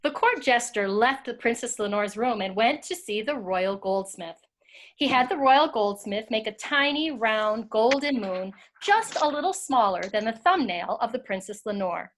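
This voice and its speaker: A woman's voice: on the high side, animated, moderate pace. A woman narrating a storybook tale as part of a scripted readers theater performance.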